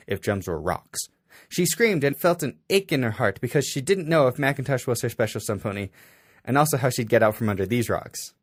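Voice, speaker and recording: A man's voice: fairly low pitch, no echo, studio quality. A man reading a story aloud in an exaggerated, mock-serious dramatic way. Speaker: amateur fanfiction reader.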